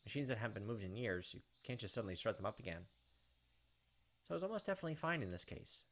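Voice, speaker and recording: Fast-talking man reading a game story. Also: on the low side, very animated, fairly bright tone, slight background noise. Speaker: let's play host reading a visual novel aloud. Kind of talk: dramatic narration of game text with casual commentary asides. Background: game music.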